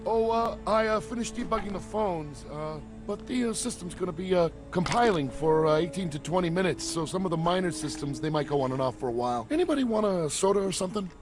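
An actor playing a whiny, resentful, shifty computer programmer with a hesitant, stammering delivery. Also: male voice, sits high, lively intonation, fast, few pauses, music in the background. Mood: nervous, shifty. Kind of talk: film dialogue in a game cutscene.

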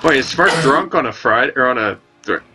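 A man's voice: mid-range voice, a little fast, music in the background. A man in his twenties from Alberta, chatting and joking while streaming a game. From Alberta, Canada. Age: 20s. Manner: joking, animated. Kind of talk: casual chat while playing.

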